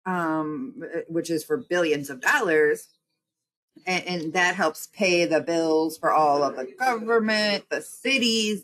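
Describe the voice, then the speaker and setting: A woman's voice: fairly low pitch, fairly bright tone. A woman who is a county supervisor and state representative, answering interview questions and explaining things step by step in lists.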